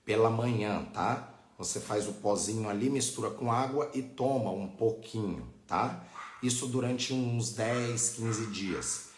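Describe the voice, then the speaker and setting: A man's voice: lowish voice, measured pace. A Brazilian man teaching informally on a live stream, didactic and often checking that listeners follow. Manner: didactic, frequent checking tags. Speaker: religious teacher hosting a live online class. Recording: echoey, roomy sound.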